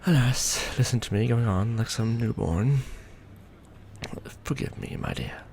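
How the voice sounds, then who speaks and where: Man playing a gravelly, courtly vampire lord. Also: medium pitch, a little mellow, slight background noise. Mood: tender, courteous. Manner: formal, archaic, theatrical, measured phrasing. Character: courtly centuries-old male vampire lord, proud, doting lover. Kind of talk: romantic ASMR roleplay, scripted monologue.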